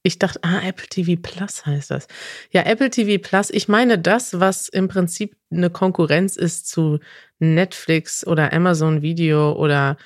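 A woman's voice: fairly low pitch, fairly even intonation, unhurried, no room sound. Chatty woman, very dry sound. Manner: chatty, emphatic, self-correcting. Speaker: podcast co-host. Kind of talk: casual podcast chat. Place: new office.

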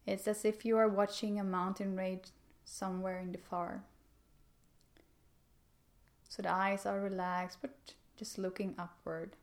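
A woman leading a guided meditation in a calm, gentle, unhurried manner with pauses. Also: medium pitch.

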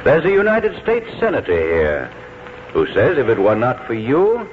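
An actor playing a dignified college president giving a measured, formal tribute in 1940s radio drama style. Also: male voice, mid-range voice, wildly swooping pitch. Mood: solemn, admiring. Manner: oratorical, measured ceremonial address. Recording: muffled old radio broadcast, faint noise.

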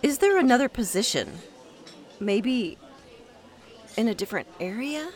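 An audio drama role: an earnest young graduate, quick to indignation. Her voice sits fairly high and swings widely with every line. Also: expressive, some chatter behind. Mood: hopeful, dismayed. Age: young adult. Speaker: actor.

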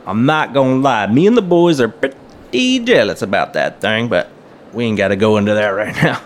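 A man's voice: medium pitch, very animated, normal speed, a little hiss or hum, natural voice. An actor playing an eager, folksy small-town hobbyist man in an audio drama, chatty and full of slang. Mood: jovial, chatty.

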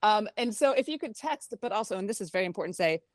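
A woman's voice: mid-range voice, expressive, fast talker, no room sound, studio quality. A woman tech reporter, a radio guest, talking in a chatty, wry way and laughing easily. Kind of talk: radio interview.

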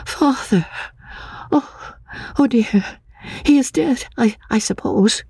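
A woman voicing a timid, childlike, troubled young woman in an audiobook of a classic novel, halting and repeating her words in a breathy voice. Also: on the high side, a little mellow, no echo, pitched above the performer's own voice, heavily acted. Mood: wistful, troubled. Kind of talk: dramatic audiobook reading of classic literature.

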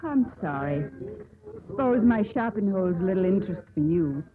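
An actress playing a proud, haughty young mistress of a ship in 1950s radio drama style. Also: female voice, medium pitch, animated, moderate pace, natural voice. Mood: formal, reserved. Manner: formal, haughty. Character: imperious, proud young Irish ship's mistress. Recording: muffled 1950s radio broadcast, music behind.

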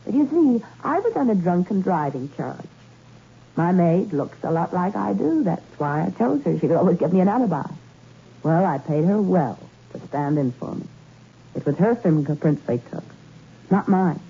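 An actress playing a cool, scheming femme fatale in a 1960s radio suspense drama, languid and matter-of-fact. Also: female voice, on the low side, big pitch swings, moderate pace, gravelly, breathy edge, heavily acted. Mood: coolly mocking. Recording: thin phone-like old radio recording.

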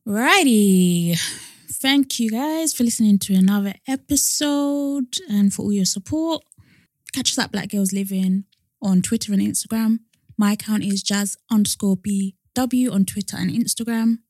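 A woman's voice: mid-range voice, moderate pace, darkish tone, no echo, clean studio sound. A woman in her twenties co-hosting a podcast, teasing and reacting playfully. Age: 20s. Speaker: podcast co-host. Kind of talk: casual chat.

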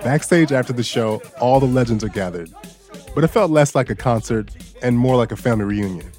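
A man hosting a news podcast, narrating a personal story in a relaxed, lightly joking way. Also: medium pitch, a little fast, soft background music. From Bangkok, Thailand. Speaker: guest host and audio engineer.

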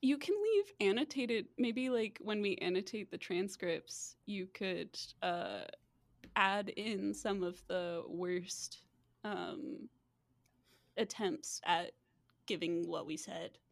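A woman's voice: mid-range voice, takes it slowly, gravelly, dry recording. A woman hosting a podcast in casual, chatty banter, often giggling as she talks. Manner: giggly, laughs often while talking. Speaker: podcast host.

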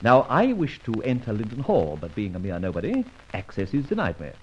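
An actor playing a debonair, flippant gentleman adventurer in an old radio drama, self-assured, with urbane, wordy phrasing. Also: on the low side, huge pitch swings, fairly quick, slight background noise. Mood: bantering, confident. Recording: muffled old radio transcription recording.

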